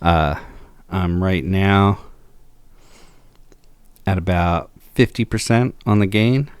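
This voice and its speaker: A man with a low voice talking through a microphone demo in a home studio, slow and measured with frequent fillers. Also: smooth, dry recording. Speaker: video host. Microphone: close to a studio microphone.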